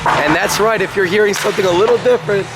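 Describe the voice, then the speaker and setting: A man calling an amateur hockey game play by play, with a raspy voice hoarse from a cold, talking fast and with energy. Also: sits high, very noisy. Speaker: play-by-play commentator. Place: rink broadcast booth.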